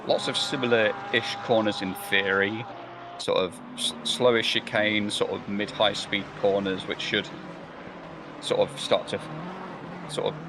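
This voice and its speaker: A man's voice: lowish voice, steady tone, measured pace, background noise. A man commentating a sim race live, rambling and hesitant, with many fillers and restarts. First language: not German or Belgian.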